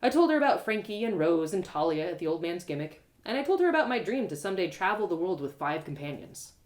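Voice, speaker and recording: Animated woman storyteller. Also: on the low side, quick pace. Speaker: storyteller reading a novel aloud. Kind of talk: expressive first-person fiction narration.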